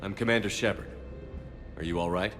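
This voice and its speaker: Brisk low-voiced commanding military man played by actor. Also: close to an everyday voice. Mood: commanding, probing. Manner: authoritative, clipped, questioning. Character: commanding male military officer, brisk, determined leader. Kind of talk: dramatic video game acting. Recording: loud music and game sound behind. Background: game music.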